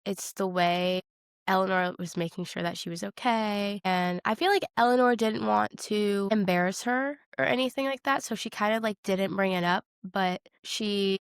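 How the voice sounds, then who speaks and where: A woman's voice: mid-range voice, moderate pace, clear, resonant tone, no room sound, studio quality. A young woman co-hosting a podcast, talking about films in a casual, candid way with youthful slang. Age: young adult. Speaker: podcast co-host. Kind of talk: casual film discussion chat.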